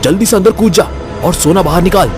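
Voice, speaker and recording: Male narrator as disguised demon, young friendly guise, higher pitch, sly, pushy, horror cartoon. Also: a little fast, music in the background, natural voice. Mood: coaxing. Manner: coaxing, pushy. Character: sly shape-shifting demon posing as a friendly young man.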